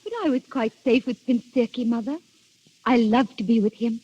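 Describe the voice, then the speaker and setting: A woman's voice: fairly high pitch, fairly even intonation, moderate pace, warm tone, husky, no room sound. An actress playing a devoted, romantic young woman, ardent and theatrical in 1930s radio drama style. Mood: dreamy, ardent. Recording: muffled old 1930s radio recording.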